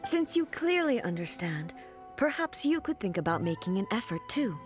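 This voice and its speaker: A woman voicing a resolute, dignified female ruler in a video game, speaking in a formal, measured, earnest way. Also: medium pitch, big pitch swings, faint music behind, natural voice. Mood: solemn resolve. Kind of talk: video game dialogue acting.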